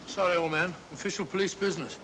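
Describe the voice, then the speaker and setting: An actor playing a genial, bluff gentleman doctor with a British accent in 1940s film style. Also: high-pitched, fairly even intonation, quick pace, close to an everyday voice. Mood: matter-of-fact. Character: genial, fussy middle-aged gentleman doctor, detective's sidekick. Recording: distant, noisy 1940s film soundtrack.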